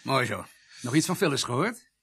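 An actor playing a matter-of-fact police doctor in a radio drama, talking conversationally with a gravelly, husky voice. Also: male voice, medium pitch, very animated, moderate pace, a bit bright, exaggerated voice.

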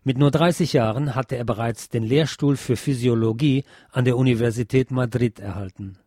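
A man's voice: medium pitch, normal speed, dark tone, no echo. Dry, close-recorded man reading history aloud. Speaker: podcast host and reader of a history book. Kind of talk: scripted narration, reading a history book aloud.

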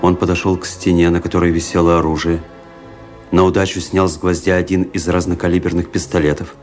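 A man narrating a radio play as an officer recalling events, in a grave, measured, brooding manner. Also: sits low, little pitch movement, faint music behind, close to an everyday voice. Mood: tense narration. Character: grave officer recounting a fateful memory.

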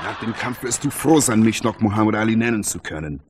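A man's voice: fairly low pitch, animated, fast talker, very noisy, lightly acted. A man playing a cocky, taunting champion boxer in a radio play, boastful and theatrical, a playful showman. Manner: boastful, theatrical, swinging between taunts and soft sincerity. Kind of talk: radio play acting, boastful press-conference banter.

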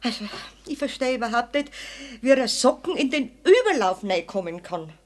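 A fussy Bavarian widow who cleans house, in a children's radio play. Her voice is very high, lamenting and flustered, with a superstitious, grumbling streak. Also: big pitch swings, moderate pace. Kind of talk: 1970s German children's radio play acting.

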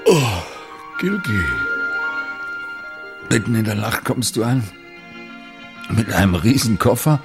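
A breezy, confident seducer in a radio play. His very deep voice moves with lively swings of pitch. He talks in a casual, teasing, flirtatious way over faint music. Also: slow pace, pauses often. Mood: surprised.

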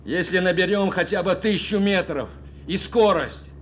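An actor playing an anxious, dutiful bomber navigator in a radio play, with earnest, intense delivery. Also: male voice, high-pitched, moderate pace, a little hiss or hum, lightly acted. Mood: alert, brisk. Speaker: actor in an audio drama. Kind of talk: Soviet radio play acting, dramatic narration and dialogue.

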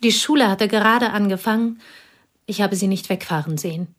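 An actress playing a reserved piano teacher from a remote village in a radio drama, terse and dryly ironic. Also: female voice, medium pitch, moderate pace, natural voice. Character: reserved provincial female piano teacher, guarded and dry.

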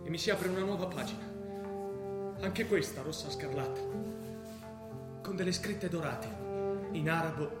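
An actor playing a troubled, restless young student in an intense, brooding radio theatre performance. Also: male voice, a little high, normal speed, pauses often, lightly acted. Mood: dazed, searching. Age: young adult. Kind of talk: stage monologue and dialogue in radio theatre. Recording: roomy theatre sound with music behind. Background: music.

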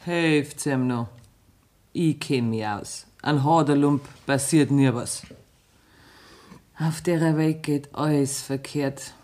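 An actress with a Bavarian accent playing an indignant, scolding farm wife in a radio play. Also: female voice, sits low, steady tone, slow, darkish tone. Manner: scolding, emphatic dialect. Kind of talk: 1960s radio play acting.

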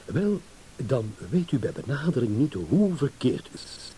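An actor playing a self-assured, impatient philandering husband in a radio play, sardonic, blunt and mocking. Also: male voice, mid-range voice, big pitch swings, a little slow, dark tone. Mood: dismissive, confident. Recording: hissy old radio play recording.

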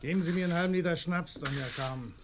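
A man's voice: on the high side, fast, lightly acted. An actor in a radio play, playing a poor, desperate village man in grief who wants drink, speaking plaintively. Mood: weary grief. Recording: noisy radio drama, crickets behind.

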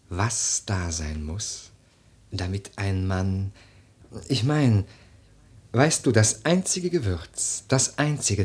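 A man's voice: on the low side, darkish tone, breathy edge, heavily acted. An actor playing a grieving, brooding young nobleman in a declamatory, lyrical verse radio drama. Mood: intense, confiding. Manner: declamatory, lyrical, slow and deliberate.

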